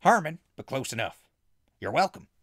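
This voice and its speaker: A man's voice: mid-range voice, sing-song, moderate pace, a bit bright, dry recording, clean studio sound. A man playing a friendly, eccentric hermit hunter with chummy, drawling backwoods talk in a comic post-apocalyptic audio drama. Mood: friendly, hospitable.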